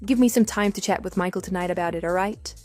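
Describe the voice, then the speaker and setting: A woman's voice: on the low side, fast, darkish tone, faint music behind, lightly acted. A woman playing a coolly sarcastic wronged wife in a radio drama, speaking in a dry, firm, matter-of-fact way. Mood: cool, insistent. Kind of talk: scripted radio drama dialogue.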